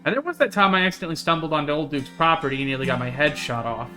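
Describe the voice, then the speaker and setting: A chatty, carefree young outdoorsy woman, voiced by a man reading a visual novel aloud. The delivery is breezy and rambling in a bright, higher register, over light music. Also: expressive, very fast. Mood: breezy recounting. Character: chatty, carefree young woman who hikes hunting cryptids.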